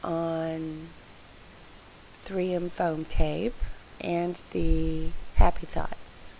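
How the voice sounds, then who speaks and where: A woman's voice: low voice, flat delivery, very slow, dark tone, a little hiss or hum, poor audio. A woman with a froggy, congested voice from allergies, chatting in a rambling, apologetic way as she narrates her scrapbooking process from her home craft room. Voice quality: froggy, gravelly voice. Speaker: scrapbooking video host.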